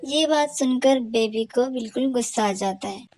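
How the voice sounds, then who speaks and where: A woman reading scripted storybook narration for an animated cartoon. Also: a little high, normal speed.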